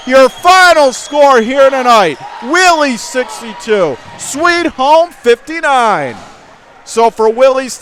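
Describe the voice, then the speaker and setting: A man doing live radio play-by-play of a high school basketball game from the gym, emphatically restating the score and clock. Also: pushed and bright, a little hiss or hum. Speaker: play-by-play sports announcer.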